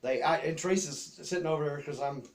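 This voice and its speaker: Southern US man, middle-aged, slightly higher-pitched voice, folksy and rambling, informal storytelling with repeated words. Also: fast. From Memphis, Tennessee area. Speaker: host telling childhood memories. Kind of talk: casual personal storytelling.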